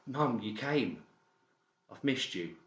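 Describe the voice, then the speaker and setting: A man's voice: mid-range voice, animated, slow pace, fairly bright tone, echoey room, highs cut off. A man playing a troubled, secretive psychiatric patient in an audiobook drama, speaking in short, tentative sentences. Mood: subdued. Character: troubled, secretive son in a mental institution.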